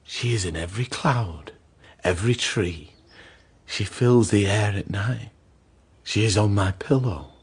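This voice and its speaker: Obsessed, brooding master for gothic radio drama; deep, breathy and badly rasping, intense. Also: male voice, a little slow, full character voice. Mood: haunted, anguished. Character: haunted, obsessed, grieving master of the house.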